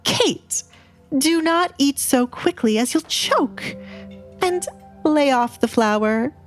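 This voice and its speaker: A woman's voice: sits high, expressive, slow, soft background music, pitched above the performer's own voice. A woman voicing a haughty, controlling noble mother, strict and scheming, in an audiobook drama. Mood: scolding.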